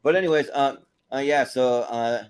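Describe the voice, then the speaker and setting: A man hosting a movie panel show, chatting casually in a halting way and repeating words as they go. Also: mid-range voice, clear, resonant tone. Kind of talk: casual panel chat and sign-off plugs.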